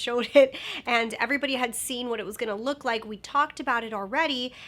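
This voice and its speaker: Bright, nasal young woman vlogger. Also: on the high side. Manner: bubbly, lots of "like" fillers. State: nasal from a sinus infection. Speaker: beauty vlogger. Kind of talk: chatty makeup review.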